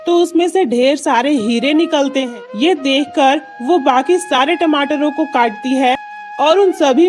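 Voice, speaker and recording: Woman voicing a high-pitched kindly magical tree. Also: a little mellow. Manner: earnest, explanatory, reassuring. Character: kindly magical talking tomato tree, male, reassuring friend. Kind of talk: children's cartoon story dialogue.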